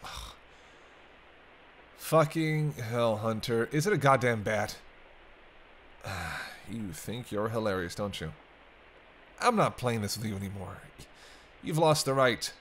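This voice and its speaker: A man's voice: mid-range voice, expressive, slow, pauses often, fairly bright tone. A man playing a sarcastic, teasing captive vampire in casual, sweary banter for an intimate roleplay audio drama. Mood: exasperated. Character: teasing, sardonic male vampire held captive by a hunter. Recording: clear background ambience noise.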